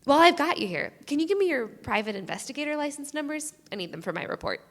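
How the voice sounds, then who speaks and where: An actress playing a chatty, squeamish small-island sheriff, polite and rambling, in a live staged reading. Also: female voice, high-pitched, sing-song, fast, a bit bright, heavily acted. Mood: polite, uneasy. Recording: reverberant live theatre recording.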